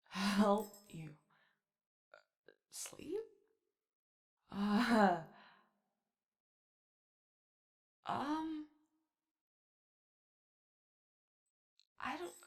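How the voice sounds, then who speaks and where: An anxious, kind-hearted robot caretaker played by a woman rambles through a comforting ASMR roleplay. The voice swings up and down a lot and often drops into creaky fry, with hesitant stammers and nervous apologies. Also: mid-range voice, heavily acted. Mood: hesitant, uneasy. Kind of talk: ASMR character roleplay, soft conversational.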